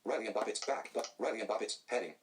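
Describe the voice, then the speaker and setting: A male screen reader voice rattles off screen items in flat, synthetic announcements. It speaks very fast, and steady background noise runs under the recording. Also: on the low side.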